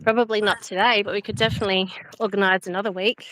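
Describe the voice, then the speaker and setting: A woman's voice: medium pitch, steady tone, quick pace, fairly bright tone, no room sound, poor audio. A woman from South Australia with a South Australian accent, chatting and laughing on a livestream while she walks outdoors through a cemetery. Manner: chatty and giggly, reads out viewer comments. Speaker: livestream host. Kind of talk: casual livestream chat walking a cemetery.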